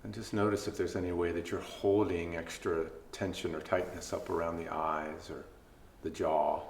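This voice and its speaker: A man leading a guided body scan meditation as a mindfulness instructor, speaking gently with unhurried pauses between phrases. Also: low-pitched, level tone, gravelly. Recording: echoey room, faint background noise.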